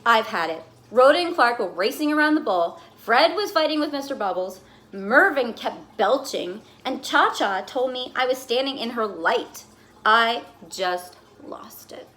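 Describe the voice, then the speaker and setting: A fussy, put-upon goldfish voiced by a woman tells its diary as a children's storybook. The voice sits high and swings widely in pitch. The delivery is theatrical and expressive, like someone reading aloud to children. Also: measured pace. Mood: exasperated, fed up. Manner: dramatic, exasperated, expressive storytelling. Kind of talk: expressive children's picture book read-aloud.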